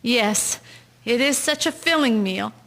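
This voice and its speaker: Radio drama: careworn, desperate 1910s mother, played by an actress, highish voice. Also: measured pace, fairly bright tone, low-quality recording. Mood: gentle, motherly. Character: grief-stricken, desperate mother in 1912 rural Canada. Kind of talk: scripted radio-drama acting.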